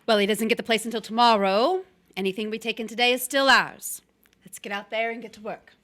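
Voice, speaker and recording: An actress playing a cool, scheming wife who feigns innocence, in 1940s radio drama style. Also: female voice, fairly high pitch, steady tone, fairly quick, fairly bright tone, close to an everyday voice. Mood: cool, brisk.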